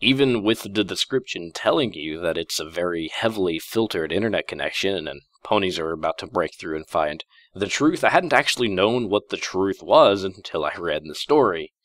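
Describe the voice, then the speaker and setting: A man's voice: deep voice, animated, normal speed, few pauses, a bit bright, no echo, clean studio sound. A man speaking casually and off the cuff to his listeners as a channel host, in a conversational, rambling way. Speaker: host of a story-reading channel. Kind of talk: casual unscripted commentary to listeners.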